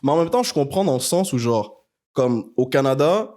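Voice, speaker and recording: A man's voice: mid-range voice, expressive, moderate pace, a little mellow. A man with a Quebec French accent hosting a podcast, chatting in slangy French mixed with English words. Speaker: podcast host. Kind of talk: casual podcast conversation.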